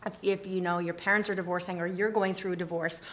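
A woman's voice: on the low side, fairly even intonation, fast. A woman with a Canadian accent chatting casually as an interview guest, telling stories in an informal, rambling and giggly way. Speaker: interview guest, photographer running a letter-writing project. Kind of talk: casual chat.

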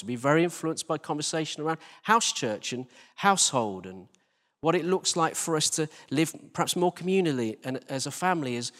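A man's voice: a little high, fairly bright tone. A British man giving an informal church sermon in an earnest, conversational manner, addressing his listeners directly as a preacher. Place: large church hall, live service. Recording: reverberant hall.

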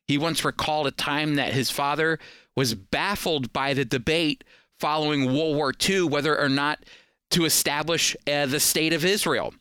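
A man's voice: on the high side, bright, forceful tone, clear, resonant tone, no room sound, studio quality. An American man hosting a radio program, speaking in an earnest, emphatic, conversational teaching style. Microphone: close studio microphone.

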